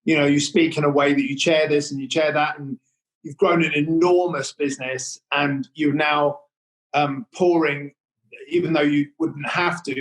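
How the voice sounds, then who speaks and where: A man's voice: a little high, a bit bright, low-quality recording. A man hosting an interview, rambling with many fillers and restarts. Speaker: interview host. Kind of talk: hesitant conversational interview.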